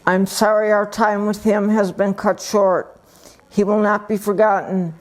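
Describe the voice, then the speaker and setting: A woman who belongs to a poetry group gives a prepared, plain and deliberate reading of her own poem. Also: medium pitch, level tone.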